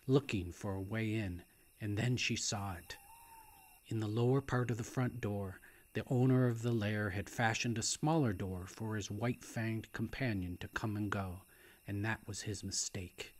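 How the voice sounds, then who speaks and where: A man reading a novel aloud as a dramatic narrator, in a hushed, deliberate and suspenseful way that at times nears a whisper. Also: fairly low pitch, steady tone. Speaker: author reading own novel. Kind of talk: dramatic audiobook-style story narration.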